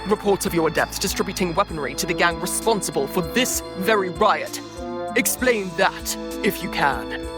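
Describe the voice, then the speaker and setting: A woman's voice: on the low side, animated, moderate pace, music in the background, lightly acted. Woman acting a pompous, indignant cyborg male priest. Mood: accusing. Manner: haughty, sputtering indignation. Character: pompous, indignant male archdeacon with mechanical voice box.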